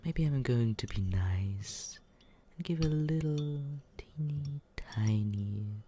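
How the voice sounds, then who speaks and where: A young man voicing a smug young man who teases and quietly looks after the listener, in a close, soft, whispered roleplay. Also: medium pitch, animated, takes it slowly, slight background noise. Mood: teasing. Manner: teasing, condescending, soft whispering. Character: smug teasing young man, mockingly caring. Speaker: ASMR roleplay performer.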